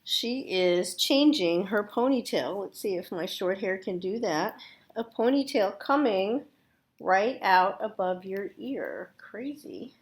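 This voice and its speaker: A woman reads a children's storybook aloud as a teacher would. Her pitch is lively and varied, and she breaks off for casual asides to the kids. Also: slow. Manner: expressive, addresses young listeners directly.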